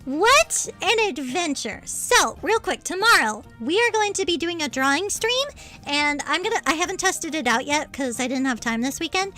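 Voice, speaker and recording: A woman streamer chatting casually with her livestream viewers in a bubbly, cutesy, expressive manner. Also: high-pitched, moderate pace, soft background music. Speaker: streamer, VTuber.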